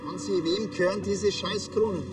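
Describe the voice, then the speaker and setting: A man's voice: high voice, fairly even intonation, normal speed, roomy sound, in a large hall, over music, lightly acted. An actor playing a sardonic small-town policeman, dry and curt, in a TV crime comedy. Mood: grumbling.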